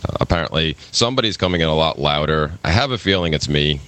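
A man co-hosting a podcast, chatting casually with a booming voice, hesitant and often laughing. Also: lively intonation, quick pace, a bit bright. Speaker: podcast co-host. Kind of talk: casual chat.

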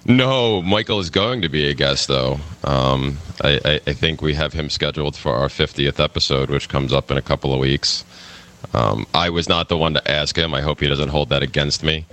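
A man co-hosting a podcast, chatting casually with a booming voice, hesitant and often laughing. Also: moderate pace, a bit bright. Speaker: podcast co-host. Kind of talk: casual chat.

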